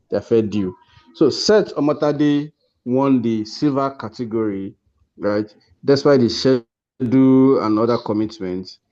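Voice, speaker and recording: Nigerian man, mellow voice, dull call audio. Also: medium pitch. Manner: encouraging, congratulatory. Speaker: host presenting course awards. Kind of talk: informal ceremony speech on a video call. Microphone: video call.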